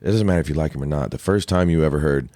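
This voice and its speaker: Man, from Ohio, deep voice, very low pitch, relaxed chatty guest, dry close sound. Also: rapid-fire, no room sound. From Ohio, USA. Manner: relaxed and chatty, peppers with "dude" and "right?". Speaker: guest singer-songwriter. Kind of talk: casual podcast conversation.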